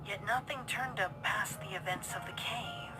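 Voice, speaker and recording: A woman's voice: medium pitch, normal speed. A woman reading scripted narration for a dramatic story. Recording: loud music bed behind voice. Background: music.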